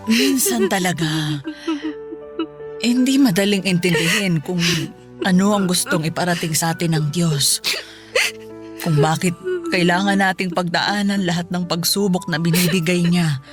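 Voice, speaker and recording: Woman acting older mother, low pitch, Filipino accent, gentle, consoling, radio drama, music behind. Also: measured pace, close to an everyday voice. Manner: gentle, reassuring. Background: music under the voice.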